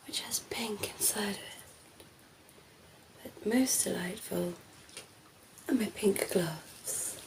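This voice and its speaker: A woman voicing a ditzy, flirty female vampire in an ASMR roleplay, speaking softly and breathily, often in a whisper, with a coy, teasing manner. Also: medium pitch, creaky, slight background noise, rough recording. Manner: slow, teasing, coy, giggly.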